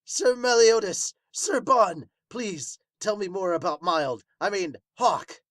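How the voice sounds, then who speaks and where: A man's voice: sits high, lively intonation, slow, a bit bright, dry recording, studio quality, pitched above the performer's own voice, heavily acted. A man voicing an earnest warrior beast, a devoted big brother, in a formal, dramatic manga dub. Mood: eager, loud.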